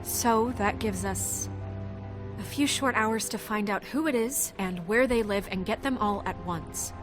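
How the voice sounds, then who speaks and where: An actress playing an earnest, stressed, assertive office administrator in a video game, firm and practical. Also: female voice, medium pitch, unhurried, music in the background, lightly acted. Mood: determined. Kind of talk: naturalistic video game acting.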